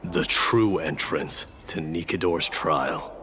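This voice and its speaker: A man voicing a proud, battle-hardened warrior prince in a video game, grave, guarded and measured. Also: rumbling low, pushed and bright, slight background noise, heavily acted. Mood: grave, thoughtful.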